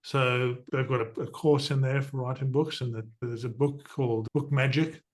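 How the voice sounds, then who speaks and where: Middle-aged podcast host, mid-pitched voice. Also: male voice, steady tone, moderate pace. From southern Africa. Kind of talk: conversational interview.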